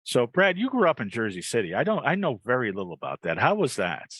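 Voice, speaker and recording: Male podcast host, medium pitch, loose rambling delivery with repeated phrases, dry recording over a video call. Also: wildly swooping pitch, fast talker, fairly bright tone, slightly rough, no room sound. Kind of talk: casual podcast chat with live ad reads.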